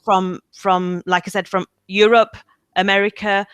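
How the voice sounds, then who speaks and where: A woman's voice: mid-range voice, flat delivery, measured pace, fairly bright tone, smooth, no room sound, clean studio sound. A woman from the UK, an English trainer, answering interview questions in a webinar with many ums and restarts. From United Kingdom.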